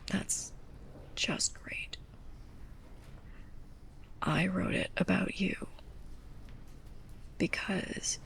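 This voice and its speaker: A woman playing a sarcastic, flustered young librarian's assistant in an intimate ASMR roleplay, speaking softly and haltingly, often near a whisper. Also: fairly low pitch, creaky, full character voice. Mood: bitter, vulnerable confession. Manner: halting, awkward, self-conscious.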